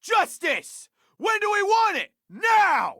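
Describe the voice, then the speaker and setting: A woman playing a fiery, defiant protester in a video game, loud and declamatory. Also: very high-pitched, sing-song, slow, no echo, studio quality, exaggerated voice. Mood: angry, defiant. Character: fiery, defiant female protester chanting grievances.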